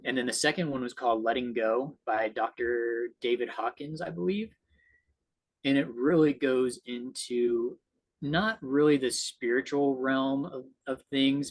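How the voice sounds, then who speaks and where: A man's voice: mid-range voice, slightly rough, poor audio. A man in an earnest, reflective conversation on a video call, speaking as a guest. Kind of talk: conversational discussion, recommending books.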